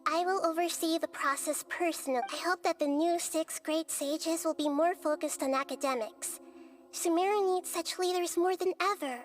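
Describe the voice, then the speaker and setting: A woman voicing a gentle, wise, childlike goddess in a video game, speaking in a soft, measured, articulate way. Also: very high-pitched, steady tone, soft background music. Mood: calm, resolute. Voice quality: soft, youthful.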